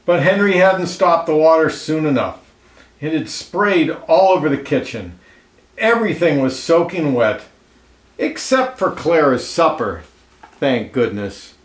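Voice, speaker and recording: A man reading a children's picture book aloud expressively, pausing to comment and ask listeners questions, in an echoey room. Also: on the high side, lively intonation. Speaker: storybook reader. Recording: roomy, echoey indoor sound.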